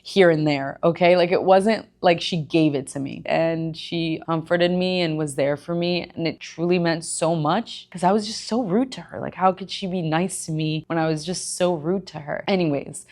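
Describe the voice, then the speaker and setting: A woman's voice: fairly low pitch, fairly even intonation, moderate pace, hardly any pauses, darkish tone. A young woman from Egypt, a gym owner and personal trainer, candidly telling her life story in a conversational vlog with emphatic asides. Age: young adult.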